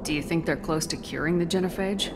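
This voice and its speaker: An actress playing a tough, inquisitive military commander in a video game, with a direct, questioning manner. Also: female voice, fairly low pitch, brisk, music in the background, close to an everyday voice. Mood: direct, inquiring. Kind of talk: video game character dialogue.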